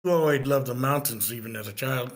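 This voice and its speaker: A man playing a gentle, probing therapist in his fifties at a screenplay table read, measured and questioning. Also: mid-range voice, natural voice. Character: probing, gentle male therapist of fifty-six.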